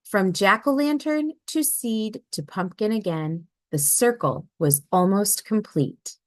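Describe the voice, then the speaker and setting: A woman reading a children's picture book aloud in an expressive storybook manner. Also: medium pitch, slow, no echo. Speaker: read-aloud narrator.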